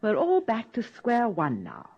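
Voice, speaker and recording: An actress playing a coolly controlling grown daughter in a radio drama, with a dry, clipped delivery. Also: female voice, a little high, wildly swooping pitch, unhurried, a little mellow, breathy edge, heavily acted. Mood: cool, calculating. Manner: dry, clipped, matter-of-fact. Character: cool, controlling grown daughter, dryly self-possessed. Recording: dull, lo-fi radio recording.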